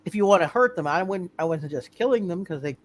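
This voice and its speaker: A man acting as game master of a superhero roleplay, halting and often repeating his phrases as he improvises. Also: sits high, expressive, a little hiss or hum. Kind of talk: live tabletop superhero roleplay, improvised narration.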